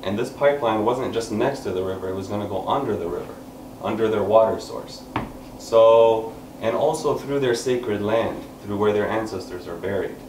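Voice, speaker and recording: A man in his thirties at an open mic, earnestly explaining to a small seated audience before reading a poem. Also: on the low side. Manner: earnest, gesturing while talking, many pauses. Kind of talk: spoken word poetry and explanation. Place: small indoor room, seated on a couch with an audience. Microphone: far camera, no mic seen. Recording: echoey room, camera from far off, background noise.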